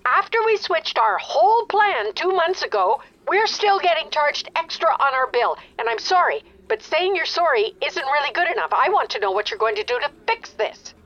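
An older woman in an audio drama, an anxious and self-righteous mother on a muffled phone line. Her voice is high and swings widely in pitch as she presses her complaint. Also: big pitch swings, normal speed, hardly any pauses, a bit bright. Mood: indignant. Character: anxious, demanding mother angry over her phone bill.

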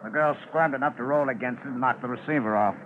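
Man acting businesslike police lieutenant, dull noisy vintage radio recording, medium pitch, matter-of-fact. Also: quick pace, natural voice. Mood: brisk, purposeful. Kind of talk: 1950s radio drama acting. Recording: muffled, noisy old 1950s radio recording.

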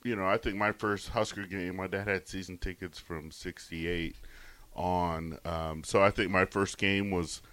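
A man with a low, deep voice, a radio guest from Nebraska. He tells relaxed, rambling stories with restarts and speaks into a dry, close studio mic. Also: measured pace, no echo. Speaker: guest, former college football player. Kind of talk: casual radio chat.

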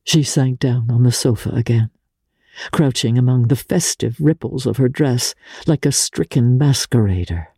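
A woman narrating literary fiction in a measured, deliberate and theatrical manner, as a dramatic reading. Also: a bass voice, warm tone, breathy edge, no room sound, clean studio sound. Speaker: audiobook narrator.

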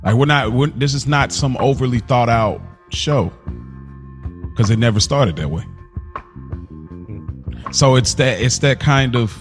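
A man's voice: mid-range voice, measured pace, long pauses, faint music behind. A man co-hosting a podcast, talking casually and reflectively as he thinks aloud. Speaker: podcast co-host. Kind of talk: casual conversational podcast talk.

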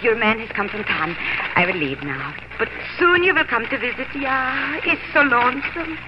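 High-voiced pioneer neighbor. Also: female voice, very animated. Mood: wistful. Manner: emotional, halting, repetitive. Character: lonely, kindly immigrant farm neighbor woman. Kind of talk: 1940s radio acting. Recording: muffled, noisy 1940s radio recording.

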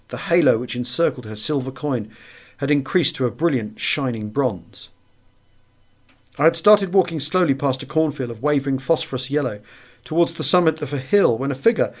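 Man reading a literary memoir. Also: medium pitch. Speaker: audiobook narrator. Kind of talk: scripted literary narration of a wartime memoir.

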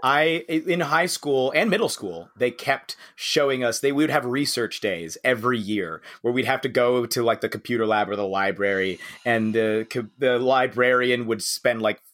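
A man's voice: mid-range voice, normal speed, hardly any pauses, a bit bright. An American man co-hosting a podcast, chatting casually and laughing often as he talks. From United States. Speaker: podcast co-host. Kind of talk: casual chat.

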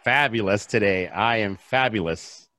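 Low-voiced American man telling his story. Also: a little slow, pushed and bright, dry recording. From south Los Angeles, United States. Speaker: interview guest, real estate investor. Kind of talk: casual personal storytelling in an interview.

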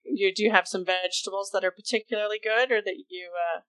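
A woman's voice: medium pitch, steady tone, quick pace, fairly bright tone, clear, resonant tone. Easygoing woman co-hosting a podcast. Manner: easygoing, laughs often, hesitant restarts. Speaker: podcast co-host. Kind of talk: casual podcast chat.

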